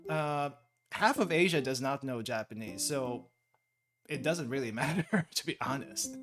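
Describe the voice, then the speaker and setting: A man's voice: medium pitch, expressive, a little slow. A young man from the Philippines, chatting casually and thinking aloud while he studies on a stream, laughing often. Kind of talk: casual study stream chat. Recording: music playing behind.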